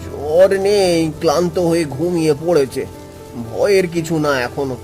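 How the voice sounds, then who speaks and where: A man's voice: on the high side, normal speed, mellow, faint music behind, lightly acted. A man playing a poor, determined woodcutter and father in a cartoon, speaking earnestly and emphatically. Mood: resolute. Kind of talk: cartoon voice acting.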